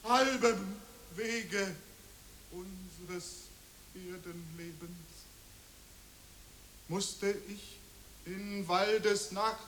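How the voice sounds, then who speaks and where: An actor playing a solemn lost poet, reciting word by halting word in an experimental radio play. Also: male voice, high-pitched, fairly even intonation, fairly bright tone, full character voice. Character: solemn lost poet wandering a dark forest. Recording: echoey room with hiss.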